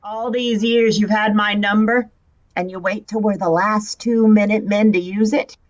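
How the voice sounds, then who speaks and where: A woman's voice: medium pitch, steady tone, normal speed, lightly acted. A woman playing a wry, teasing elderly retired superheroine in a fan-made drama, with a languid, sardonic delivery. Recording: dull lo-fi sound with music underneath. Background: music.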